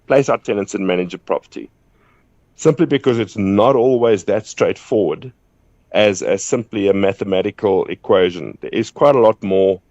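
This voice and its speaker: A hoarse man with a fairly low voice talks as a radio guest over a muffled phone line. He explains in a measured way and often repeats a word as he starts a point. The sound is dry, with no room around it. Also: a little mellow, no echo. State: hoarse from flu. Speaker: guest property agent.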